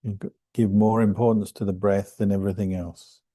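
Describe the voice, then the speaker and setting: Calm guy leading meditation, really dark soft-edged tone, flat and lowish, close dry sound. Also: dry recording. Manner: calm, unhurried, gentle pauses between phrases. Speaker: monk, meditation teacher. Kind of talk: guided meditation instruction.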